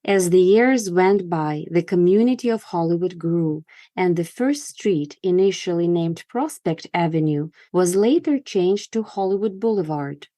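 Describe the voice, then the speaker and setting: A woman's voice: lowish voice, expressive, unhurried, hardly any pauses, dark tone, no echo, studio quality. A woman hosting a podcast, reading scripted narration. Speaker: podcast host.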